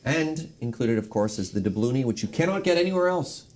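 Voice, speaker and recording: A man's voice: mid-range voice, expressive, a little fast, darkish tone, a little hiss or hum. A man on a panel chatting casually, explaining himself in loose, hedging fragments. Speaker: panelist on a charity stream. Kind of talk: casual chat.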